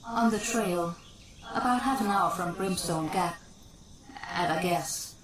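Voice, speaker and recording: A woman's voice: fairly low pitch, slow pace, echoey room, a loud crowd, rough recording. A woman playing a level-headed, blunt ghost in a Western audio drama, with an American accent and wry delivery. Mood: matter-of-fact. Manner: wry, matter-of-fact.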